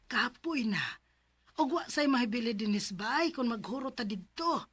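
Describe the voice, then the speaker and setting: A tired elderly mother with a Cebuano accent. Her voice sits slightly high, and she grumbles reluctantly, as in a domestic radio drama. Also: lively intonation, moderate pace, a bit bright, breathy edge. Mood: weary grumbling. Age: older. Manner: weary, grumbling. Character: weary, reluctant elderly mother with aching knees.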